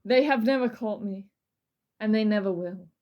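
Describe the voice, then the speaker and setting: A woman voicing a boastful murderous male spirit from hell, reading his letter in a slow, theatrical, menacing way. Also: medium pitch, highs cut off, natural voice. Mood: grand, menacing. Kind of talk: over-the-top dramatic letter reading.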